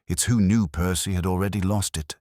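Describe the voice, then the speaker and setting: A man voicing a shrewd middle-aged male police inspector who speaks quietly and deliberately, with a husky voice. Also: deep voice, steady tone, no room sound, clean studio sound, pitched above the performer's own voice, natural voice. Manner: quiet, measured, probing. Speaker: detective inspector.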